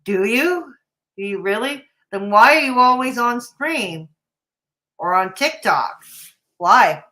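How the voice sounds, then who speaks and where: A woman's voice: a little high, expressive, slow pace, sharp tone. A woman streamer giving sarcastic, mocking commentary on a live stream.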